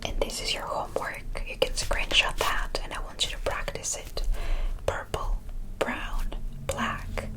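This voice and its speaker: Woman whispering a korean lesson real slow, soft and encouraging, says wonderful a lot. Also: poor audio. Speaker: Korean language teacher. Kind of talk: whispered ASMR language lesson.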